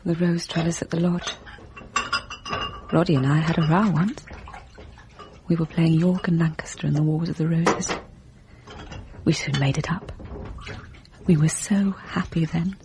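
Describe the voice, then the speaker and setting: A woman's voice: low-pitched, steady tone, a little slow, pauses often. An actress playing a genteel young English lady in a radio drama, politely clipped and brittle, hiding inner turmoil. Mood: wistful. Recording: radio drama with faint background noise.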